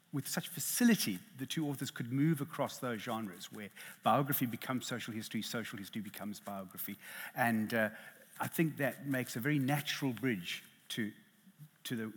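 Middle-aged South African male panel chair, husky, self-deprecating, echoing hall. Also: on the low side. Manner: self-deprecating, hesitant, lots of restarts. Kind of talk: hosting a literary panel. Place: lecture hall. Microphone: headset. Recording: reverberant hall.

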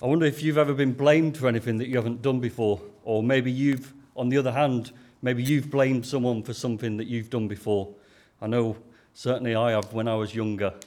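A man beginning a sermon in a casual, halting way, repeating words as he goes. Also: medium pitch, steady tone, darkish tone. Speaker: preacher.

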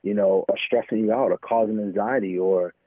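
American guy in a candid interview, kinda halting and informal, low husky voice, dry thin phone sound. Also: steady tone, no room sound, phone-quality sound. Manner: informal, halting, peppered with "man" and "you know". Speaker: interview guest, entrepreneur.

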